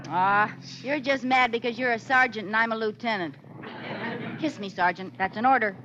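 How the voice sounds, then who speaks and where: An actress playing an excitable, scheming young housewife in an American 1940s radio comedy, with a melodramatic manner that makes much of small troubles. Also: female voice, on the high side, normal speed, close to an everyday voice. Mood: playful bossy. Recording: noisy, muffled old radio recording.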